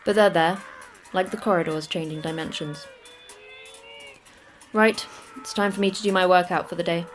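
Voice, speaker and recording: A woman's voice: fairly low pitch, normal speed, pauses often, soft background music, close to an everyday voice. A woman playing a terse, sardonic station manager. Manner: curt, dry, sardonic. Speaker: station manager of a secret facility.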